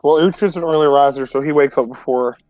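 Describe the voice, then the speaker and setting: A man's voice: fairly high pitch, quick pace, a little mellow, no room sound, low-quality recording. A man playing a tabletop roleplaying game, casually describing what his character does in informal, sweary talk.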